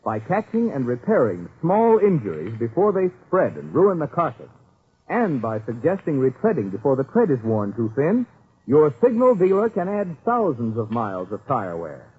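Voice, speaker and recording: Animated 1940s radio announcer, man, tinny sound. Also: mid-range voice, moderate pace, breathy edge. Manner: polished, emphatic salesmanship. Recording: tinny vintage radio, faint music.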